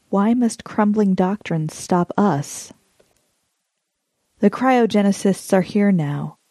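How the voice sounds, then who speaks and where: A woman with a slightly low, soft and dark-toned voice reads a poem as a measured monologue. The sound is close and very dry, without room reflections. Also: dry recording. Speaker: poetry reader.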